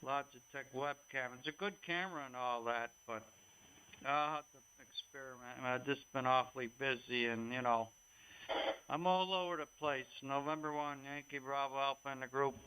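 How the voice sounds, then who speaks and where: Male radio amateur, mid pitch, forceful bright tone, casual on-air chat, slightly noisy humming signal. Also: normal speed. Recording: noisy radio transmission with hum.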